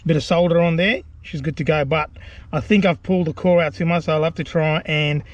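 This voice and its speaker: A man's voice: fairly high pitch, a little fast, lo-fi sound. A man giving a laid-back, self-deprecating hands-on DIY tutorial, chatting colloquially to his viewers. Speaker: vlogger presenting a DIY car install.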